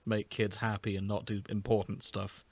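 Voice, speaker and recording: A man's voice: fairly low pitch, completely flat delivery, moderate pace, no echo. A man hosting a casual chat podcast, explaining a story in a storytelling way. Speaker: podcast host.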